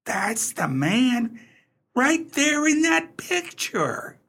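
A woman acts out a frightened beadle from a radio play, high-pitched and unhurried. The speech stammers and halts, folksy and deferential, with creaky edges. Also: big pitch swings, a bit bright, full character voice. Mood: frightened, insistent. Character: frightened, flustered beadle who tends the family vault.

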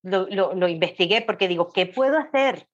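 A woman's voice: medium pitch, animated, moderate pace, highs cut off. A woman guest chatting casually in an interview, telling her story expressively and repeating phrases. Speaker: podcast guest, audiobook creator.

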